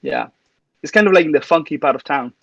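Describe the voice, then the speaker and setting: A man's voice: fairly high pitch, wildly swooping pitch, fast talker, a bit bright, dry recording. Man from Cuba, casual stream host, laughs a lot; lo-fi, dead-dry audio. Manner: chatty, laughs often, trails off. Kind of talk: casual chat. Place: home studio, livestream.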